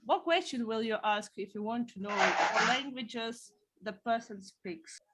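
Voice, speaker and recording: A woman's voice: on the high side, a little slow, very noisy. A woman teaching a beginner language class, patiently explaining words one at a time.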